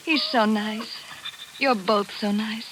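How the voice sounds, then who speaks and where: A woman's voice: medium pitch, fairly even intonation, takes it slowly, a bit bright, rough recording. An actress playing a troubled, affectionate young woman in a 1940s radio drama. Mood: troubled, fond. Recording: hissy old 1940s radio broadcast.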